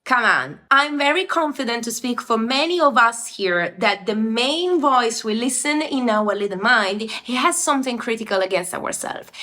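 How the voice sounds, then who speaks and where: A young woman from Italy with an Italian accent, talking earnestly to viewers in a casual book review video. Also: on the high side. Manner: animated, earnest, direct to viewer.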